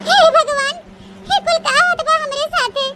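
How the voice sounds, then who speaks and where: A woman's voice: very high voice, normal speed, sharp tone. A woman voicing a wretched, tricked young bride in a comic cartoon melodrama, lamenting tearfully with an Awadhi accent. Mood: distraught. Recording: very noisy cartoon voice track.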